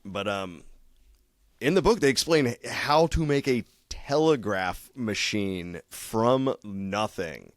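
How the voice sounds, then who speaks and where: A man's voice: fairly low pitch, expressive, slow pace, dry recording, clean studio sound. A young man co-hosting a podcast, rambling in casual chat, laughing and swearing easily. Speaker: podcast co-host.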